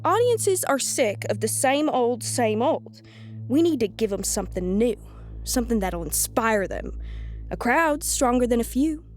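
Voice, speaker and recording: A woman's voice: a little high, big pitch swings, a little slow, faint music behind. A woman playing a sardonic, resentful rival in a scripted audio drama, theatrical and sarcastic. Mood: sardonic, scheming.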